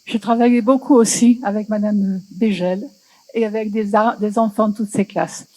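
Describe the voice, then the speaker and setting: A woman's voice: mid-range voice, fairly even intonation, moderate pace, darkish tone, rough recording. A woman in the audience speaks passionately about language, often searching for her words. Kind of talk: festival panel discussion.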